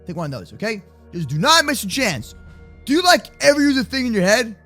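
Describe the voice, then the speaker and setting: A young adult man improvising a children's block-game roleplay with excitable, exaggerated, slangy banter. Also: very high voice, a little fast, soft background music. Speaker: host of kids' gaming roleplay channel.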